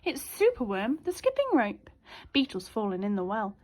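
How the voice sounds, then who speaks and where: A woman teacher reading a children's storybook aloud in an expressive, child-directed way. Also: medium pitch, normal speed, a little hiss or hum. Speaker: primary school teacher.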